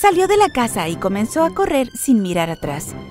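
High, animated woman storyteller. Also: fairly quick, over music. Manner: expressive storytelling. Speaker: narrator. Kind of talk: scripted children's story narration.

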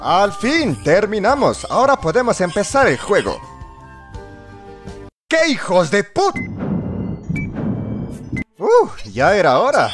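A man's voice: sits high, huge pitch swings, takes it slowly, pauses often. A man narrating a scripted comedy parody in a sarcastic, exaggerated, exclamatory manner. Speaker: fandub narrator. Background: music.

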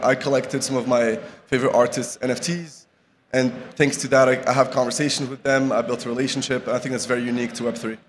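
A young man from Morocco giving a casual conference talk at a podium, full of fillers and restarts. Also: mid-range voice. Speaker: conference speaker. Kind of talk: live conference talk with slides. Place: large conference hall with audience. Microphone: podium microphone. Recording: reverberant hall, faint background noise.

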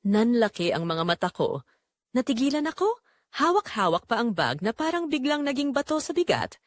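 A woman's voice: fairly high pitch, sing-song, moderate pace, dry recording. A woman narrating a scripted dramatic story in the first person.

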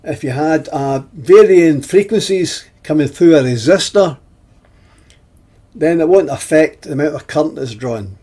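A man in his seventies giving an explanatory technical lesson in a deliberate, halting way, with pauses between phrases and a husky voice. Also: on the high side, expressive, mellow. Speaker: presenter of an instructional video.

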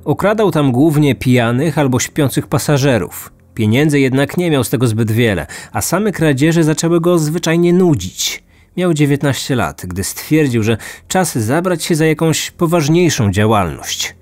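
A man's voice: on the high side, expressive, moderate pace, darkish tone, no echo. A man narrating a scripted true-crime podcast as its host. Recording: music under the voice. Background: background music.

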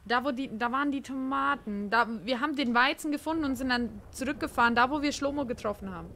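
A woman's voice: sits high, quick pace, sharp tone, slight background noise. A woman chatting in character during an improvised survival role-play game.

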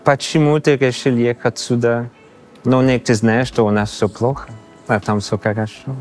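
A man in his thirties from Canada with a Canadian accent, speaking a learned second language with pauses and fillers in an interview. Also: mid-range voice, fairly even intonation, darkish tone, soft background music. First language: not Russian. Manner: halting, searching for words with many fillers. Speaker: interview guest. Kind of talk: casual interview answers. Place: exhibition hall indoors. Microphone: lapel.

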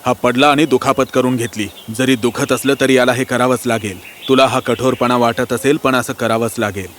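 A man voicing a stern, misunderstood elder prince in an animated fairy tale, firm and earnest. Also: mid-range voice, normal speed, slight background noise, lightly acted.

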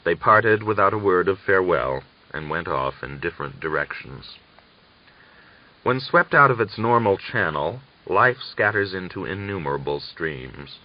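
A man narrates a literary novel with expression. His voice sits very low. The audiobook recording sounds somewhat dull and lo-fi. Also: lively intonation, unhurried.

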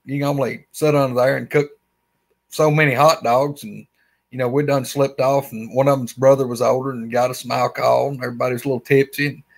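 A man in his forties with an Alabama accent, telling a rambling story as a guest on a video call from his man cave. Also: medium pitch. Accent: Southern US, Alabama. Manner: animated, rambling storyteller with lots of fillers. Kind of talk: casual storytelling interview. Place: his man cave, small indoor room. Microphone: webcam on a video call.

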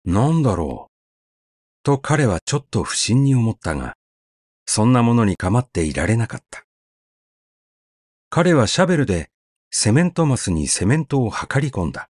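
A man's voice: medium pitch, very animated, normal speed, pauses often, no room sound, studio quality. A man narrating a short story as a scripted audiobook reading, telling it expressively. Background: faint background music.

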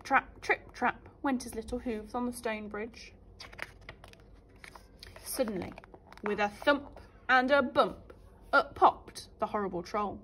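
Lady teacher reading kids storybook, highish voice, really expressive and up n down. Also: slight background noise. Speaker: primary school teacher. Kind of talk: children's story read aloud.

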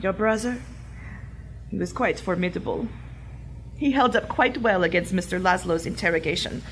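A woman's voice: on the low side, moderate pace, a bit bright, slight background noise, rough recording. Woman acting a haughty villainess. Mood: coldly gloating. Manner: imperious, cold, formal. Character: haughty, ruthless German Nazi villainess, archaeologist. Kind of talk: pulp adventure audio drama.

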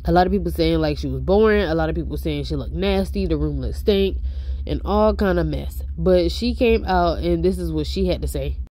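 Woman host, stuffed-up nose, breathless rapid gossip chatter, warm low tone. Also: animated, poor audio. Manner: chatty, fast, reads out posts. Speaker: YouTube host. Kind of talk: casual celebrity gossip commentary.

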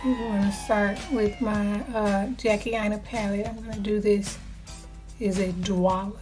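A hoarse, slightly raspy woman chats softly through her makeup routine, often dropping to a near whisper. Her voice sits at an ordinary middle pitch and is picked up close to the camera. Faint music plays under her. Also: flat delivery, unhurried. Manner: soft-spoken, drops to a near whisper. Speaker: beauty vlogger. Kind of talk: casual makeup tutorial. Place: small dark room indoors. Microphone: camera close to the face.

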